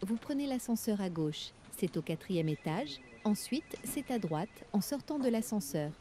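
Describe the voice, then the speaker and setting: A woman reading everyday French dialogues for learners, with clear, careful scripted delivery. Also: mid-range voice, expressive, measured pace, lightly acted.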